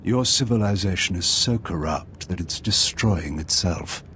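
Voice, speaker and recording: An actor playing a bitter, grandiose revolutionary leader in a video game, speaking in deliberate, theatrical speeches. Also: male voice, deep voice, a bit bright, slight background noise. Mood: defiant.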